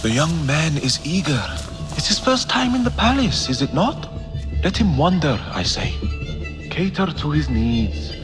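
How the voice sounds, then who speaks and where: A man's voice: a little high, sing-song, measured pace, music in the background. An actor playing a suave, indulgent male palace courtier in a video game, with a placating manner. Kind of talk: cinematic video game acting.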